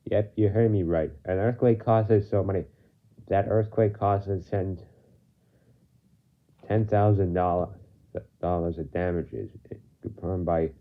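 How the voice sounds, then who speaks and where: A man improvising an amateur mock newscast as anchor, halting and stumbling over his words as he repeats phrases. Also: deep voice. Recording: muffled lo-fi home microphone.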